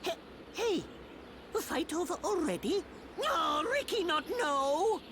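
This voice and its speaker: A tiny, boastful furry game creature. The voice is squeaky and very high, bouncing widely in pitch. It speaks slowly in childlike broken sentences. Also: animated, noisy background, heavily acted. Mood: eager, puzzled. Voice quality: squeaky, cartoonish. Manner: talks of self in third person, broken grammar. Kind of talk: video game cutscene acting.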